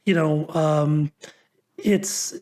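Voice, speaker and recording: An interviewer chats analytically on a songwriting show. The voice is medium in pitch. Delivery is thoughtful and hesitant, with sentences built up piece by piece. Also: drawn-out words, dark tone.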